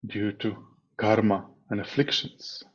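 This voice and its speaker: A man leading a guided meditation as a teacher, speaking in a calm, measured way with long pauses between phrases. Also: on the low side, slightly rough. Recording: dull, muffled online meeting audio.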